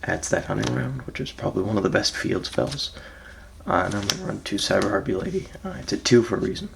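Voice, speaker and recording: A raspy, just-woken man, gravelly and low. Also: big pitch swings, quick pace, noisy background. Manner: laid-back, hesitant, frequent ums, trails off softly. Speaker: card game player presenting his deck. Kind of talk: casual deck walkthrough.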